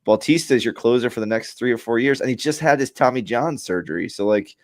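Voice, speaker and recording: A man's voice: medium pitch, fast talker, no echo, clean studio sound. A man co-hosting a casual sports podcast, talking in a rambling way with restarts. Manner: rambling, many restarts and fillers. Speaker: podcast co-host. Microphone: remote streaming mic.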